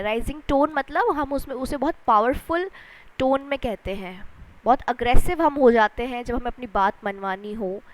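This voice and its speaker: A woman with an Indian accent giving an instructional lecture, explaining deliberately and with emphasis as a teacher does. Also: high voice, low-quality recording. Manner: deliberate, emphatic, explanatory, repeats points in synonyms. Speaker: English lecturer.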